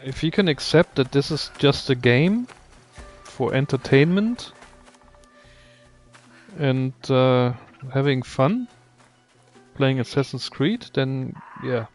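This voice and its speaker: A man does relaxed, conversational game commentary in a slightly low voice with plenty of fry. He takes his time between phrases, muttering to himself over faint game music. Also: slow pace, pauses often. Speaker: video game streamer. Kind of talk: casual live gameplay commentary.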